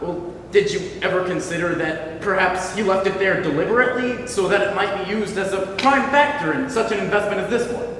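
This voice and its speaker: A man's voice: high voice, brisk, a bit bright. A man playing a slick con man with formal business patter in an amateur stage play, recorded in an echoey hall. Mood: persuasive, businesslike. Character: slick, smooth-talking male con man posing as agent. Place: indoor hall, recorded from far off. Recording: very echoey hall, distant mic, faint noise.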